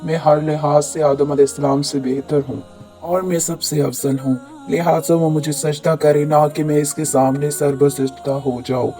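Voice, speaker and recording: A man with a hoarse voice voicing an arrogant male devil who boasts that he is better and refuses to bow. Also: fairly high pitch, flat delivery, normal speed, dark tone, soft background music, close to an everyday voice. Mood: indignant boast. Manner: indignant, boastful. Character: arrogant proud male devil defying God.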